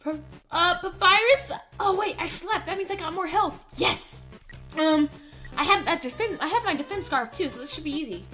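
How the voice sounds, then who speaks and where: A woman's voice: very high voice, moderate pace, pushed and bright, faint music behind. A woman doing in-character roleplay commentary on a video game, hesitant and stammering as she talks.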